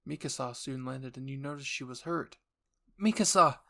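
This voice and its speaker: Gravelly male story narrator. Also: medium pitch, flat delivery, brisk, fairly bright tone. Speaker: narrator and voice actor. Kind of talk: scripted second-person story narration.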